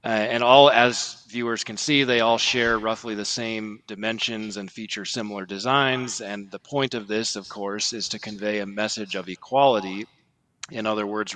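A man's voice: lowish voice, sharp tone, poor audio. A man speaking as a podcast guest, giving conversational, explanatory commentary.

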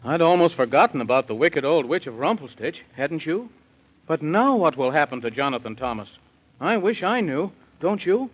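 A man narrating a 1930s children's radio serial, husky and confiding, in a singsong manner aimed at young listeners. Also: on the high side, animated, moderate pace. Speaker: storyteller, announcer. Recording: muffled 1930s radio recording.

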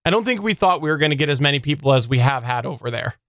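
A man's voice: medium pitch, animated, rapid-fire, fairly bright tone, dry recording, studio quality. A man hosting a podcast in a casual, conversational style, moving through segments and listener feedback. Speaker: podcast host.

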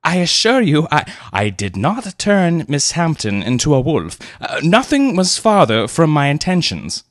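A man's voice: mid-range voice, lively intonation, moderate pace, hardly any pauses, no room sound, clean studio sound, voice pushed up, close to an everyday voice. A man doing a stammering, pompous young gent. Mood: flustered. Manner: stammering, solemn.

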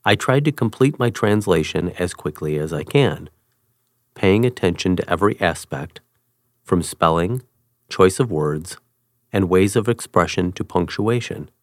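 A man with a fairly low voice reads a first-person article aloud from a script. His delivery is measured and even. The sound is close and dry, with no room around it. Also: no echo. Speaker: reader.

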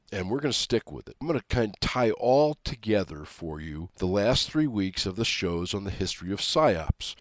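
A man hosting a political talk radio show, sounding nasal, congested and raspy from a cold, talking in a folksy, emphatic style. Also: mid-range voice, no echo.

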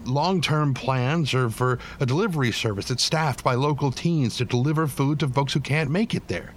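A man playing a weary, bitter but devoted working-class widowed father in an audio drama, plain-spoken and halting. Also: mid-range voice, slight background noise, close to an everyday voice. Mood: weary, determined. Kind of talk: naturalistic audio drama acting.

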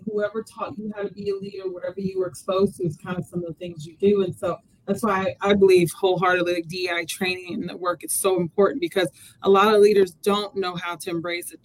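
A woman's voice: medium pitch, fast talker, few pauses, slight background noise, low-quality recording. Curious woman host from Texas. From Texas, USA. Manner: curious, asks long winding questions. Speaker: podcast host. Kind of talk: casual interview talk.

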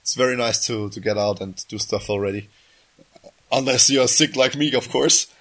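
A sick man hosts a casual podcast, breaking off to cough. He rambles and keeps restarting and correcting himself mid-thought. His voice sits at an ordinary middle pitch. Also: normal speed, a bit bright. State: sick, coughing, voice just back. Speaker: podcast host.

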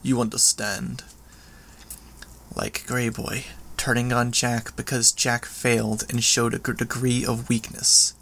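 A man voicing a proud, brutal supervillain with clawed hands, speaking in growled, halting phrases in an audiobook. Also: mid-range voice, level tone, gravelly, a bit bright. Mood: contemptuous, demanding. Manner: growling, halting, clipped foreign-sounding phrasing.

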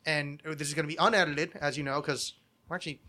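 A man's voice: fairly high pitch, fairly even intonation, fast talker, sharp tone. An American man hosting a podcast with casual, rambling comedic chat, self-deprecating and freely swearing. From United States. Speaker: podcast host.

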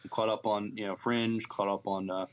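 An American man co-hosting a podcast, chatting casually with a halting, hesitant delivery. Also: fairly low pitch, little pitch movement. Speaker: podcast co-host. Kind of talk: casual chat.

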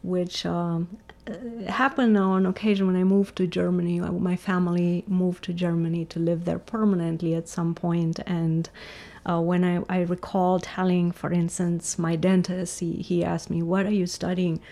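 A middle-aged woman from Romania answers interview questions about her path into physics. Her voice sits fairly low and carries a gravelly, creaky edge. She speaks in a thoughtful, measured way, often restarting her sentences. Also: flat delivery, dark tone. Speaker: physicist guest.

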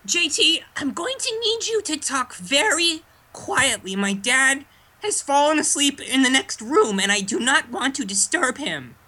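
A performer voicing a childish, self-important male Muppet fan being interviewed, talking in a deadpan way and repeating the host's name. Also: high-pitched, measured pace, exaggerated voice. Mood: deadpan smug. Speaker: interview guest.

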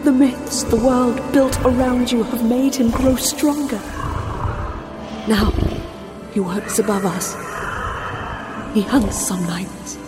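An actress playing a murdered wife returned from the dead, reproachful and mournful, speaking slowly with tender menace in a horror audio drama. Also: female voice, fairly high pitch. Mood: mournful accusation. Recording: long hall reverb under music.

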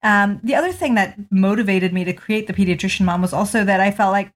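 A woman's voice: medium pitch, quick pace. A middle-aged woman from Malawi, a pediatrician answering interview questions articulately, with frequent ums. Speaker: pediatrician guest.

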